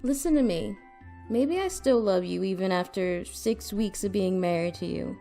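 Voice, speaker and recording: A woman's voice: mid-range voice, normal speed, gravelly, warm tone, faint music behind, natural voice. A woman playing a sardonic, possessive newlywed wife in retro radio drama style, dry, sarcastic and commanding. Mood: stern, possessive. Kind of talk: retro 1940s radio comedy-thriller acting.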